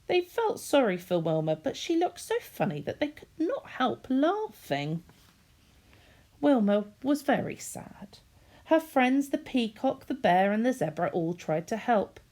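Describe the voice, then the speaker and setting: Animated, unhurried woman reading to children. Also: on the high side. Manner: addresses young children, animated storytelling. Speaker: teacher reading a story to children. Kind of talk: expressive children's storybook reading.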